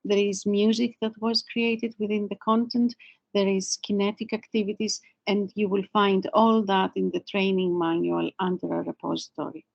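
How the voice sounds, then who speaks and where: A woman's voice: medium pitch, level tone, normal speed, creaky. A woman giving an informal online webinar presentation, explaining things as a presenter. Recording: dull lo-fi webinar audio.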